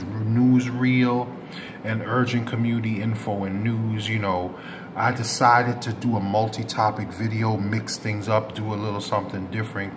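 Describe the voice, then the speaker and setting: A man with a fairly low voice talks through community news for his subscribers, recorded with clear background noise. He speaks off the cuff and rambles, circling back to correct and approximate what he has just said. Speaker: host of a community news channel. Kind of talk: unscripted news commentary to subscribers. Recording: clear background noise, ambient room sound.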